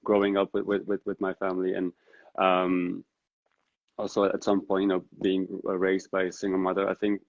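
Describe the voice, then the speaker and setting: Flat-voiced man, thinking aloud. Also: low-pitched, moderate pace, vocal fry, no echo. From Netherlands. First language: Dari. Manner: reflective and earnest, thinking aloud. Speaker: podcast guest. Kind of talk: casual interview conversation. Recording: dull lo-fi sound.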